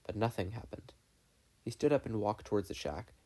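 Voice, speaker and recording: A man's voice: fairly low pitch, expressive, fairly quick, dark tone. A man reading a story aloud in scripted narration. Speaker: podfic reader.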